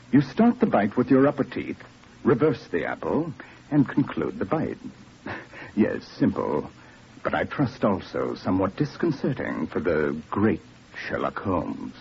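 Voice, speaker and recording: An actor playing a smug, cold criminal mastermind, measured and condescending, in a 1940s radio drama. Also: male voice, lowish voice, wildly swooping pitch, gravelly, darkish tone, full character voice. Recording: dull, noisy old radio recording.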